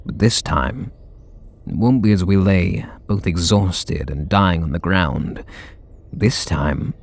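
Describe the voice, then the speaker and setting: A man voicing a flustered, tender super villain in an ASMR roleplay, speaking softly and intimately. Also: heavily acted. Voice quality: low gravelly husky voice. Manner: soft and intimate, bashful.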